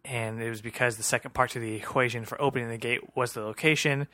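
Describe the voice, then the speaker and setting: A man's voice: medium pitch, steady tone, quick pace, bright, forceful tone, no echo. A man co-hosting a podcast in a casual, informal recap chat. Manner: informal, swearing. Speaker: podcast co-host.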